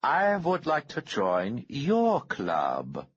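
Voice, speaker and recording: A man voicing a menacing bomber who speaks slowly and coldly, with a husky tone. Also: medium pitch, huge pitch swings, no echo, lo-fi sound, voice pushed up, full character voice. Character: menacing man with bomb, slow, cold.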